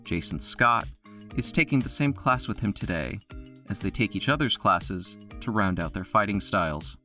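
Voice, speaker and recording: A male narrator with a somewhat low, bright and forceful voice reads the story steadily. Faint music plays under him. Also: moderate pace. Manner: steady, descriptive. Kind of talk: scripted narration.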